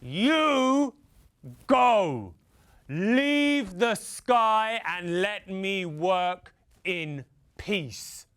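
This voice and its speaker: A man voicing an overheated, bossy farmer who complains gruffly and emphatically in a live folktale telling. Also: high voice, expressive, very slow, a bit bright, heavily acted. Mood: bossy, exasperated.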